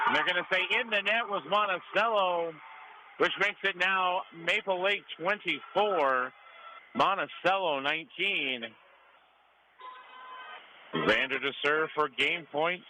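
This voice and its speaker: A man's voice: fairly high pitch, pushed and bright. American sports announcer man over gym noise. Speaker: play-by-play radio announcer. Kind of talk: live sports commentary. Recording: clearly noisy gym ambience behind.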